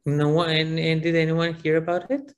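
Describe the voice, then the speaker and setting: A man whose first language is Macedonian speaks in English during an online class discussion, pausing often and searching for words. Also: a little high, little pitch movement. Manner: hesitant, searching for words, many fillers.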